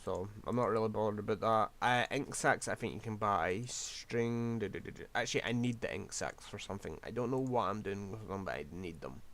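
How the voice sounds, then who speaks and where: A man's voice: fairly low pitch, brisk, few pauses, a bit bright. A man, rambling through casual gameplay commentary while losing his voice. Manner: rambling, scatterbrained, self-deprecating. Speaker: game streamer.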